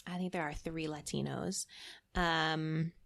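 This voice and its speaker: A woman's voice: sits low, fairly even intonation, takes it slowly, gravelly, a bit bright. A woman from Massachusetts, a guest in a casual interview, talking chattily and often laughing. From Massachusetts, USA.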